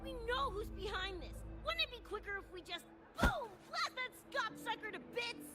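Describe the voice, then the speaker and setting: A very high, wildly animated voice leaps around as a brash young punk girl from a video game. She is slangy and bouncy, full of exclamations, with loud music underneath. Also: normal speed. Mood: impatient. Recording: loud music over the dialogue.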